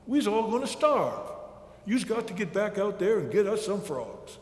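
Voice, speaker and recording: A man's voice: high-pitched, big pitch swings, moderate pace, darkish tone. A man voicing a bossy raccoon wife in a folktale, nagging and threatening with folksy rural phrasing. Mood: nagging threat. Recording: echoey hall with long reverb.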